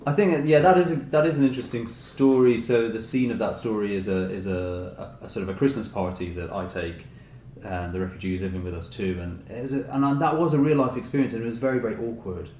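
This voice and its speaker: A man with a fairly low voice talks reflectively in a conversational interview, softening his statements as he goes. The room is echoey, with faint background noise. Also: fast. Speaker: interviewed author. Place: indoor room with echo.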